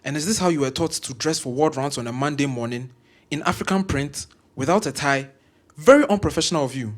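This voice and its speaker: A man's voice: fairly high pitch, fairly quick, natural voice. Man playing a pompous, scolding Ghanaian professor. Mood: condescending. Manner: pompous, grandiose wordy diction, scolding. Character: arrogant, scornful senior medical professor, a man. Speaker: voice actor in an audio drama. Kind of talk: scripted audio drama acting.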